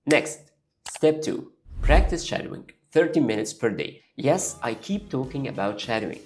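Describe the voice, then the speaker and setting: Arabic-native guy teaching english to camera, encouraging, warm mellow tone. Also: medium pitch, very animated, normal speed. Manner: upbeat, coaching the viewer step by step. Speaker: English learning YouTuber. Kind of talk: direct-to-camera lesson.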